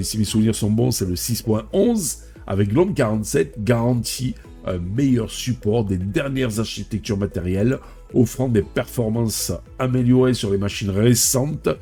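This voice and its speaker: Homme, enrhumé, voix sombre, voilée, lit un script tech, apartés familiers. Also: male voice, mid-range voice, mellow, husky. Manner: reads then adds chatty personal remarks. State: stuffy with a cold. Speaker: tech video host. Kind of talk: reading a news script with asides.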